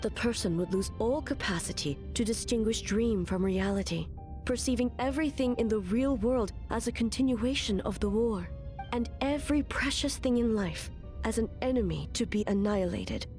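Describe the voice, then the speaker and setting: Solemn, formal warrior goddess Ei played by an actress. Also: female voice, on the high side, a little mellow, soft background music, close to an everyday voice. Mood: grave, resolute. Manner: formal, measured, grave. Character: stoic, solemn female warrior ruler, ageless deity. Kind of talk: anime video game voice acting.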